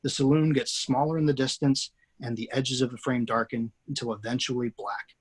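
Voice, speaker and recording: A man reading the stage directions aloud in a plain, steady way as the screenwriter hosting a table read over a video call. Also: mid-range voice, brisk, slightly rough. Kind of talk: reading stage directions, then casual chat. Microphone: video call mic.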